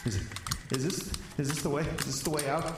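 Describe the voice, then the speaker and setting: A man's voice: a little high, fairly even intonation, fast, very echoey, heavy background noise, close to an everyday voice. An actor playing a frightened man desperate to flee in a radio drama, stammering and repeating himself nervously. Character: jittery, frightened man who wants to flee. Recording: loud music bed under speech.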